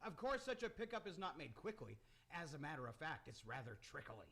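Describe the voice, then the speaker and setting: A man's voice: on the high side, sing-song, brisk, a bit bright, slightly rough. A man hosting and narrating a live radio theater broadcast from a studio room. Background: background noise.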